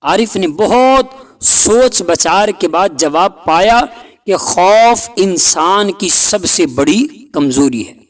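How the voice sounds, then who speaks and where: A man with a notably high voice narrates a folk tale. He takes it very slowly and deliberately, giving the story a dramatic delivery. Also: lively intonation, rough recording.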